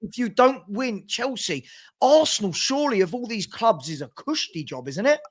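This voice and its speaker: Man, high voice, probing, methodical arguer, livestream football debate. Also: very animated, moderate pace. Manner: probing, builds his case step by step. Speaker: football fan panelist.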